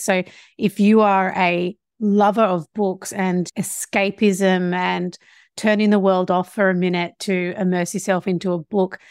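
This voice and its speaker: A woman's voice: mid-range voice, darkish tone, no room sound, studio quality. A woman hosting a podcast, bubbly and encouraging as she welcomes and questions her guest. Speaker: podcast host and marketing coach. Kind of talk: scripted intro, then casual interview chat.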